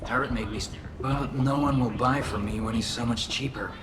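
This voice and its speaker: An actor playing a polite, stranded young alien salvage trader in a video game, earnest and downcast. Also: male voice, medium pitch, fairly even intonation, brisk, noisy background, natural voice. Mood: resigned, downcast. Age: young adult. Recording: roomy echo under music.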